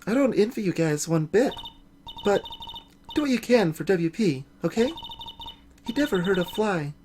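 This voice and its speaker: A woman's voice: medium pitch, animated, moderate pace, a little mellow, slight background noise, lightly acted. A woman voicing a subdued, earnest studio assistant in a video game. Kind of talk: dubbed video game dialogue.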